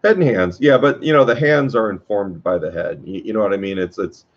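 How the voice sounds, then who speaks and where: Low-voiced man, rambling guitar talk. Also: a little fast. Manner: rambling, many fillers and restarts. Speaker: guitar teacher. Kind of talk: casual workshop talk. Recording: dull lo-fi sound.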